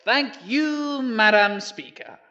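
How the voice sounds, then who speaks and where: An actor playing a cocky, combative populist prime minister with brash, glib oratory in an audio drama debate. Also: male voice, extremely high pitch, big pitch swings, slow pace, pushed and bright, lo-fi sound, full character voice.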